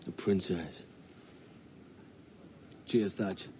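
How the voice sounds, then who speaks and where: An actor playing a roguish, stubborn, easygoing young pirate captain in a video game cutscene. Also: male voice, low-pitched, very slow, mellow, a little hiss or hum. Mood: friendly.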